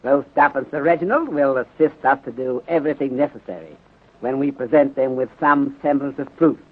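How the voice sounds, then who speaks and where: An actor playing a calm, courteous, bulky detective inspector in a 1930s radio drama, speaking formal, deliberate English with dropped articles. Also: male voice, mid-range voice, lightly acted. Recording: tinny narrow-band 1930s radio recording.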